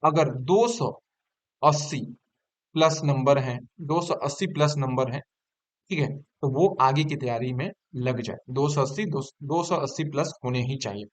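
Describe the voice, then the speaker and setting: A man's voice: medium pitch, normal speed. A man giving an informal explanation to exam candidates as an online presenter, repeating figures and key points for emphasis.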